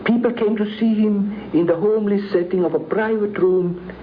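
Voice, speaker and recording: High-pitched man on old radio. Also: fairly even intonation, normal speed. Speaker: guest recounting memories. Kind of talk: prepared first-person account. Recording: dull, noisy 1950s radio recording.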